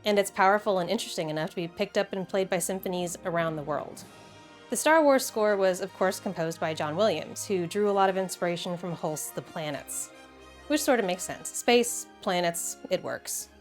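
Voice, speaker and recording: A woman's voice: fairly low pitch, moderate pace, soft background music. A woman hosting a video essay in a casual, conversational and enthusiastic way, with wry asides.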